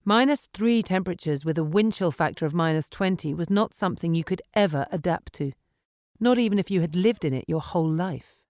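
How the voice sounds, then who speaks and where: A woman audiobook narrator reading dramatic fiction in an expressive, measured storytelling manner in a studio. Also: fairly low pitch, no room sound. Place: dry recording studio.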